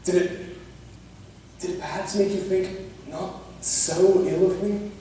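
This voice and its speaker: Man acting stiff, mellow young gentleman, echoey stage. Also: high voice, steady tone. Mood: earnest. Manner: formal, halting, courteous period speech. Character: stiff, awkward, courteous young English gentleman in love. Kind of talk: amateur stage play acting. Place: stage in a theatre or hall, distant microphone. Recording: echoey theatre hall from far off, faint noise.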